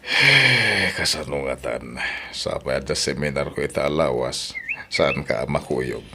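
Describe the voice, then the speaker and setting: A deep, animated voice over faint noise: a man acting an older, well-off boss in a radio drama. He is indulgent and secretive with his lover. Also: unhurried, gravelly, heavily acted. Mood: indulgent, coaxing.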